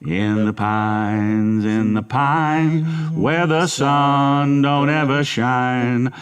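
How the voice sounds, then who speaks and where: Man as a very slow-talking, coaxing father. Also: medium pitch, smooth. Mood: tender, singing softly. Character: tender, quietly manipulative middle-aged father. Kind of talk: horror radio drama acting.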